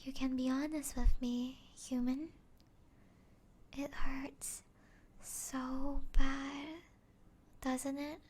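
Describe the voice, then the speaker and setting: A woman voicing a seductive, mocking, possessive vampire in an ASMR roleplay, teasing and taunting in a breathy voice that slips into whispers. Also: high-pitched, little pitch movement, slight background noise. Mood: menacing, teasing. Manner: teasing, taunting, slow breathy delivery with whispers and soft chuckles.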